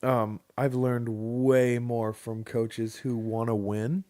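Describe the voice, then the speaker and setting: A man co-hosting a podcast in casual chat, opinionated and thinking out loud with fillers. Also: medium pitch, level tone, slow, no room sound. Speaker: podcast co-host.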